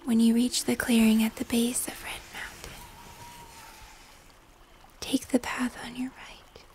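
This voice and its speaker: A young woman playing a gentle, mysterious moth-human hybrid in fantasy ASMR, speaking in soft, breathy whispers. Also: fairly high pitch, little pitch movement, lightly acted. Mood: gentle, guiding. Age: young adult. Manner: slow, hushed, mysterious, gentle. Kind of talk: cinematic fantasy ASMR roleplay.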